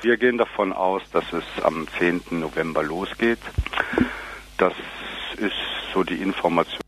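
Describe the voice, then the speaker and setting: A man speaking casually in an interview, in halting, colloquial phrases. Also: low voice, low-quality recording. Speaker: interviewee, anti-nuclear activist.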